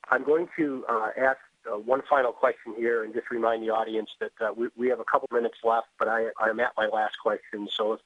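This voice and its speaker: A man's voice: medium pitch, dry recording. A man moderating a webinar, politely reading out questions and announcements in a businesslike way over a tinny phone connection. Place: remote call.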